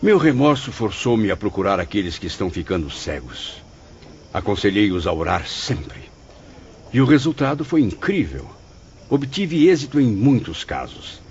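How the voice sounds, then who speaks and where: A man with a Brazilian accent playing an earnest, remorseful spirit in a dramatized audiobook, speaking in solemn, formal, measured diction. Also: lowish voice, slight background noise. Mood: earnest, fervent. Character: earnest, remorseful male spirit recounting his mission of consolation. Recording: dull muffled audio under loud music.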